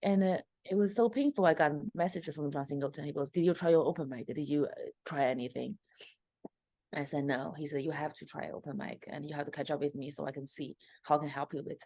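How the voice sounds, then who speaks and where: A woman's voice: sits low, quick pace, warm tone, no room sound. A woman in her thirties from China, speaking with a Chinese accent, telling her story as a comedian guest in an interview with many fillers.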